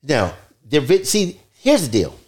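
A man's voice: a little high, wildly swooping pitch, unhurried, a little mellow, slight background noise. A man in his sixties, a father joining his daughter's podcast, talking casually and joking, often repeating words. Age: 60s. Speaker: podcast guest, father of the co-host.

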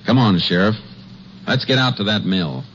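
Low-voiced Texas Ranger, played by an actor, old radio western. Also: male voice, lively intonation, moderate pace, close to an everyday voice. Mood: brisk, firm. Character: steady, decisive male Texas Ranger, on horseback. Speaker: lawman and first-person narrator. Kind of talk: 1950s western radio drama acting. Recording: muffled old radio recording, loud music.

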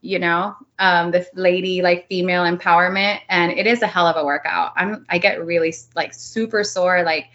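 A woman's voice: on the low side, fairly even intonation, moderate pace, hardly any pauses, fairly bright tone, highs cut off. A woman in her early thirties from Miami, a native Spanish speaker, chatting casually as a podcast guest with lots of fillers and laughs. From Miami, United States. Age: early 30s. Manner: chatty, giggly, many likes and fillers. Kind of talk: casual interview chat.

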